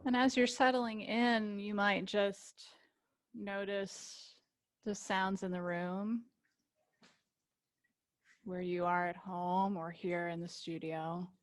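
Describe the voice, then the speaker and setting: A woman teaching yoga nidra, guiding a relaxation meditation with gentle invitations and long pauses. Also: medium pitch, flat delivery.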